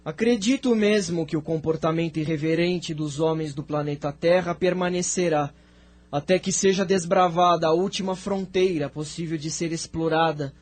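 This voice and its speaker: A man with a Brazilian accent playing a venerable, thoughtful spirit sage in an audio drama, speaking in a measured, formal, declamatory way. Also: fairly high pitch. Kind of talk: scripted audio drama dialogue.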